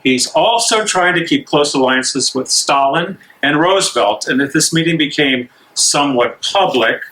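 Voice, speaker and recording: An actor playing a cautious English bishop in a stage reading, formal and admonishing in manner. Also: male voice, on the high side, expressive, moderate pace, bright, forceful tone, poor audio. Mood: firm.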